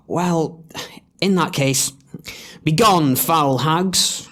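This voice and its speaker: A man's voice: a little high, very slow, pushed and bright, full character voice. Man playing bumbling, drawling young pantomime prince. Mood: indignant, commanding. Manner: hesitant, stammering. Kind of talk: audio pantomime acting.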